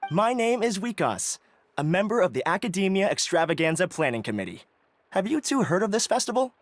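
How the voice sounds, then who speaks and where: Polite young organizer, light lively delivery, soft music under. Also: male voice, sits high, fairly quick, dry recording. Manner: courteous, formal, explanatory. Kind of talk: video game voice acting. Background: soft game music.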